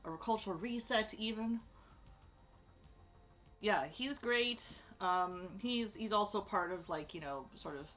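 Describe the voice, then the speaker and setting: A woman chatting casually as she ranks characters, rambling with lots of fillers and restarts and sudden bursts of excitement. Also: medium pitch. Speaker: streamer ranking show characters.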